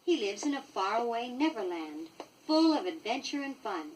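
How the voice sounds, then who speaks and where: Female American storybook narrator for children, highish and very animated delivery, noisy vintage 1960s record. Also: moderate pace, recorded from a distance. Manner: expressive, clearly paced storytelling for young children. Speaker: storybook reader.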